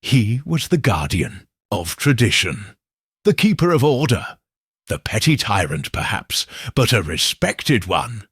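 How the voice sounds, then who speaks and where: Man reading a cool middle-aged detective, husky, slow and deliberate, lively pitch, dry sound. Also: dry recording, heavily acted. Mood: explaining. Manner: measured, coldly certain, deliberate. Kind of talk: audiobook character reading.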